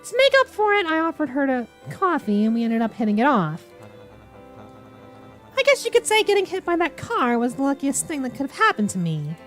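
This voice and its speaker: Woman putting on an eager, high-pitched young man. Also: sing-song, a little fast, a bit bright, faint music behind. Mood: earnest, flustered. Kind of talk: visual novel character reading.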